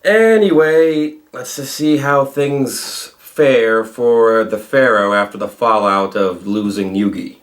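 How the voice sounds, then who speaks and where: A man's voice: mid-range voice, slow, few pauses. A man hosting a reaction video with casual, informal commentary on a parody cartoon.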